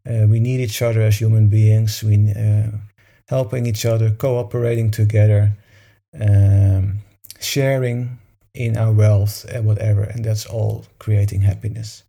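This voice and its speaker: A man's voice: fairly low pitch, flat delivery, measured pace, gravelly, dark tone, slightly rough. A man leading a meditation session, talking informally to a group in a soothing, encouraging manner.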